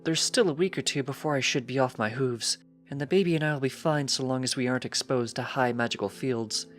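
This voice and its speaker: A man's voice: mid-range voice, brisk, soft background music, voice pushed up, natural voice. A man voicing a careful, fretful female pony, a precise and chiding wife in a storybook reading. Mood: reassuring.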